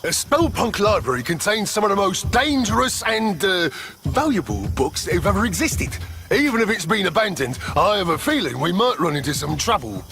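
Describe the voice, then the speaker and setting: An actor voicing an excitable, folksy shopkeeper sidekick in a game cartoon, with quirky, wordy patter. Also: male voice, high voice, moderate pace, creaky, full character voice. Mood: relieved, chatty. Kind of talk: animated video game cutscene acting. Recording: music behind the voice.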